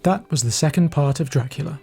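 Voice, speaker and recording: A man's voice: a little high, a little fast, creaky, warm tone. A man reading a scripted announcement as the credits announcer of an audio drama. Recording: string music behind the voice.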